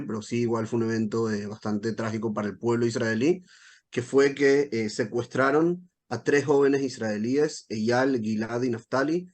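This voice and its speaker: A man in his thirties from Venezuela with a Venezuelan accent, speaking as a guest to an audience in a measured way, with frequent hesitation sounds. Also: medium pitch, darkish tone. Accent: Venezuelan Spanish. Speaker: guest speaker, military spokesperson. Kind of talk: talk to a live audience.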